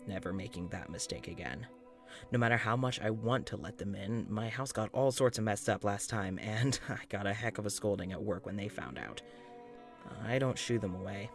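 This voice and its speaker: A man's voice: on the low side, close to an everyday voice. A man playing a weary, rambling doctor in an audio-drama journal, musing aloud in a hesitant, self-conscious way. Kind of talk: intimate audio-journal monologue in a fiction podcast.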